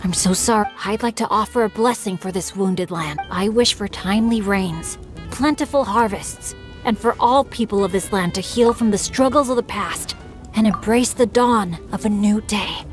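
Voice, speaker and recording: A woman's voice: mid-range voice, normal speed, soft background music, lightly acted. A woman voicing an earnest, good-natured teenage boy adventurer in an anime-style game, chatty and a little bashful. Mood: earnest, wistful.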